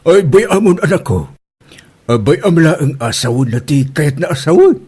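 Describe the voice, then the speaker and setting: A bemused middle-aged Ilocano father played by a man, husky and rather high for a man. His questioning, placating delivery jumps around in comedy-drama style. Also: big pitch swings, moderate pace, darkish tone. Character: puzzled, easygoing middle-aged father calming his daughter.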